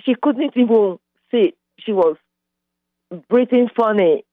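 A woman's voice: a little high, slow, no echo. A middle-aged woman from Nigeria with a Nigerian accent, calling in to a radio show and talking in a rambling way with many fillers. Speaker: caller. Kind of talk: casual phone call. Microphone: phone line. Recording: tinny dry phone line.

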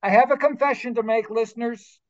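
A man's voice: very high voice, steady tone, fairly quick, lo-fi sound. A man hosting a talk show, speaking in a formal, upbeat, carefully enunciated way. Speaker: radio show host. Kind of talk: scripted show introduction and interview.

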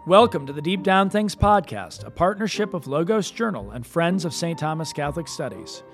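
A man hosting a conversational podcast, speaking in halting phrases and often rerouting his sentences. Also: fairly high pitch, animated, faint music behind. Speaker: podcast co-host, professor.

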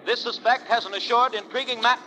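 An actor playing an urgent radio announcer reading a clipped, official 1930s news bulletin. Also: male voice, extremely high pitch, steady tone, brisk, sharp tone. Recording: vintage 1930s radio broadcast.

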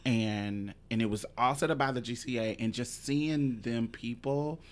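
A man's voice: mid-range voice, a little slow. A host in his forties from Indianapolis who talks in a chatty, emphatic way and swears casually. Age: 40s. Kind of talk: casual interview.

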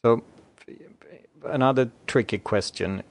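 A man interviewing a guest, asking questions with encouraging remarks and slightly halting phrasing. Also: medium pitch, no echo.

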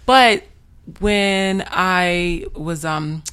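A woman's voice: on the low side, very slow, fairly bright tone, clean tone. A young adult woman talking frankly in a casual chat, rambling with many fillers and restarts. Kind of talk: candid conversational chat.

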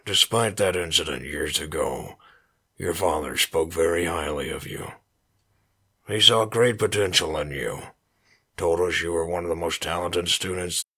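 An actor playing an authoritative space agency officer running a formal interview in an audio drama, in a husky voice. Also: male voice, deep voice, sharp tone, exaggerated voice.

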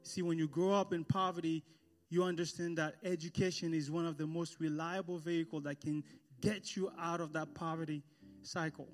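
A man from Haiti speaks in church with a slightly raised voice, measured and storytelling, slowing down on key phrases. Soft guitar music plays quietly underneath. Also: a little high, steady tone. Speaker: guest speaker. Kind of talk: testimony talk in church.

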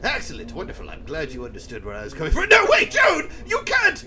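A man playing a flustered, pompous time-travelling gentleman in a comedic audio drama, stammering and wordy with theatrical flourishes. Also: mid-range voice, huge pitch swings, racing, a crowd in the background.

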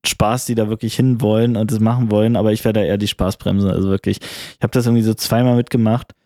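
A man with a cold co-hosts a casual chat, talking in a mellow, relaxed tone. He rambles and restarts his sentences, dropping coarse slang as he goes. The sound is dry and close, with no room around it. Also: fairly low pitch, fast talker, no echo. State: has a cold. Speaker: podcast co-host.

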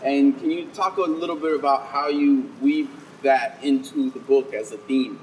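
A man's voice: mid-range voice, steady tone, moderate pace, a little mellow, recorded from a distance, a little hiss or hum, poor audio. A man interviewing an author, asking long, winding questions full of fillers and hesitations.

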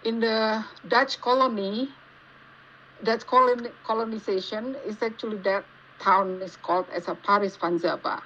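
A woman with an Indonesian accent giving casual interview answers, haltingly searching for words as she goes. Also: medium pitch, steady tone, bright, forceful tone. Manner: halting, searching for words in non-native English. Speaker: podcast guest, geologist. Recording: dull lo-fi call with faint noise.

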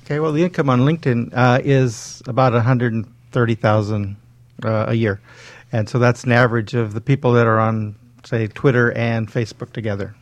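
A man's voice: medium pitch, steady tone, fairly quick, no echo. A man explaining things conversationally as an expert guest in an interview. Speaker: guest, LinkedIn coach.

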